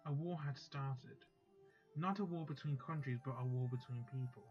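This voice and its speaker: A man's voice: mid-range voice, moderate pace, lo-fi sound, soft background music. A man hosting a let's play, chatting casually and then reading a visual novel's text aloud.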